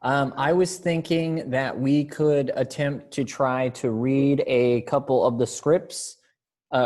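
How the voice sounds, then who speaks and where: Guy running a play rehearsal on a video call, medium pitch, kinda halting and hesitant. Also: darkish tone. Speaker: readers theater rehearsal director. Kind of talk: casual rehearsal instructions over video call.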